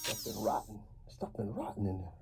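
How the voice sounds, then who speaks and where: A man's voice: on the low side, huge pitch swings, quick pace, very noisy. A middle-aged man in an ASMR roleplay as a dentist, talking informally and teasingly to the listener as his patient. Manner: informal, hesitant, teasing chairside patter. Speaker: ASMR performer playing a dentist.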